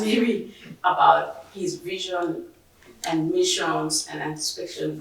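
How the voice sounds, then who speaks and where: A woman's voice: fairly low pitch, gravelly, fairly bright tone, distant mic, slight background noise. A young woman from South Sudan, a graduate student, asks a long question from the audience, earnest and hesitant, with restarts. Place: library event room. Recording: very echoey room, voice from afar.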